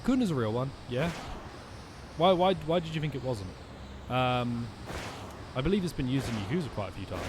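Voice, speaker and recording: A young man talks through a game as he streams, thinking out loud and restarting his sentences. His voice sits at an ordinary middle pitch, and steady noise runs under everything. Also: normal speed, pauses often. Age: young adult. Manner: chatty, thinking aloud, frequent ums and restarts. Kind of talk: gaming commentary.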